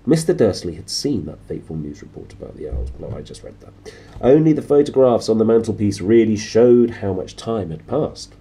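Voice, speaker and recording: A man narrating a storybook in a lively way, with informal, playful asides to his listeners. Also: on the low side, normal speed, breathy edge. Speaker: reader, host of a read-aloud series.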